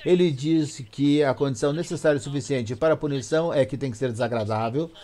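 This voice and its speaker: A man with a Brazilian Portuguese accent interpreting an academic lecture live, in halting phrases with self-corrections. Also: mid-range voice, warm tone.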